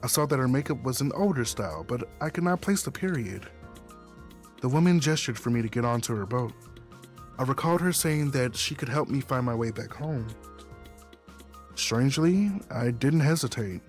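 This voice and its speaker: A man reading a visual novel aloud as first-person story narration. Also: mid-range voice. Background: background music.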